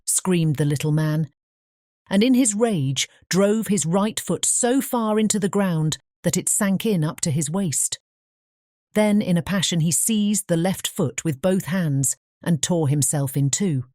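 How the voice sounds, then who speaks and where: A female storybook narrator with a British English accent. Her voice is low and mellow, and the recording is close and very dry as she reads the scripted tale. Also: measured pace, no room sound.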